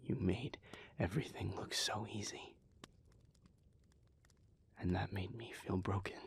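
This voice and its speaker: A man's voice: sits low, fairly even intonation, unhurried, gravelly, whispered. A man voicing an emotionally raw, bitter and defensive male vampire in an intense, confessional audio roleplay. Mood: subdued, regretful. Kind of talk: dramatic audio roleplay acting.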